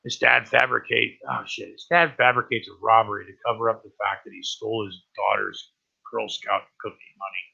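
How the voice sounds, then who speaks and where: Raspy man with a cold, bright pushed tone, rambling profane stream host. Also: on the low side, fairly even intonation, fairly quick, dull audio. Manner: profane and rambling, lots of "right?". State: has a cold. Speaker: livestream host. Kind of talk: rambling casual talk about news.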